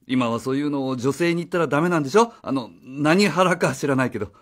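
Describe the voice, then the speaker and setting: A man's voice: a little high, animated, fast talker, close to an everyday voice. A man playing a flustered, evasive, cheeky father who drives a taxi, stammering and blustering in a radio drama. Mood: defensive, sarcastic. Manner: stammering, blustering, casual speech. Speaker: voice actor.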